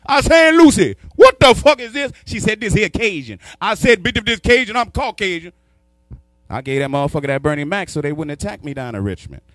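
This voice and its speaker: Loud animated stand-up comedian, man. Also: sits high, fairly quick, no echo. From New York. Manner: loud, animated, shouts punchlines. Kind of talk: stand-up routine. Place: small studio with sponsor backdrop. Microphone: handheld microphone.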